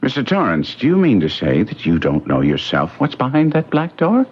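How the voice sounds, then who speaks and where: Courteous English solicitor, husky low voice, inquisitive, hesitant, old radio mystery, dull vintage sound. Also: male voice, huge pitch swings, moderate pace. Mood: polite unease. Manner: polite, hesitant, old-fashioned phrasing. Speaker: radio drama actor. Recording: muffled vintage radio recording.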